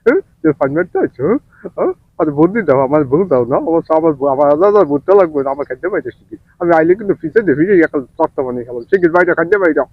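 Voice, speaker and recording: An old farmer from the south, panicky and easily enraged, in a comic dub. The voice is high and races along, tripping over repeated words. It sounds close and dry, like a prank call. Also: male voice, very animated, racing, no echo, narrow, tinny audio. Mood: furious scolding. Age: older. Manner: spluttering, repeats words frantically. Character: excitable old village farmer, panicky and furious.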